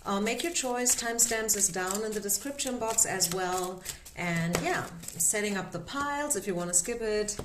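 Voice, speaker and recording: Woman, German accent, card-reader host; slow and reassuring, echoey room. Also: mid-range voice, noisy background. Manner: unhurried, gently reassuring, frequent filler words. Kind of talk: tarot reading vlog.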